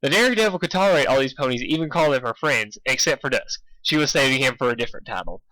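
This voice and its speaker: A man's voice: medium pitch, expressive, fast talker, sharp tone, dry recording, poor audio. A man reading fan fiction aloud as informal storybook narration, sometimes stumbling and rereading a phrase.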